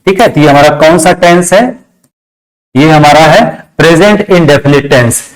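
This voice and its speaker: Male teacher, Indian accent, slightly high voice, instructive, question-and-answer delivery. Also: normal speed, rough recording. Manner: instructive, repeats key phrases, asks and answers own questions. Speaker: English grammar teacher. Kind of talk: classroom lesson, step-by-step explanation.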